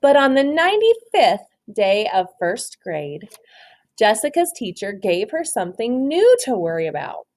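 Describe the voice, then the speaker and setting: A woman reading a children's story aloud expressively, pausing to ask simple questions and encourage answers. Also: on the high side, big pitch swings, a little mellow.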